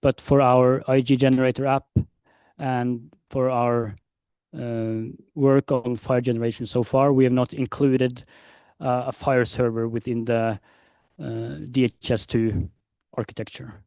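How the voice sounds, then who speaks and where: A man presenting at a conference, answering questions in a measured, hesitant way. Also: medium pitch, flat delivery, mellow, clean tone, dry recording. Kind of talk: conference Q&A explanation. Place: conference session room.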